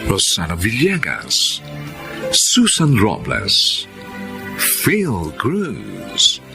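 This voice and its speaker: A man with a Filipino accent giving solemn scripted narration for a radio drama. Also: low voice. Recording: clear music behind.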